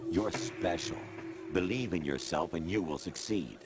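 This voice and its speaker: An extremely low male voice speaks as a cold, inhuman intelligence in a game cutscene. The delivery is measured and authoritative, like a lecture, with loud music underneath. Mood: cold, lecturing. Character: formless male artificial intelligence posing as colonel, coldly lecturing. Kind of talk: video game cutscene acting.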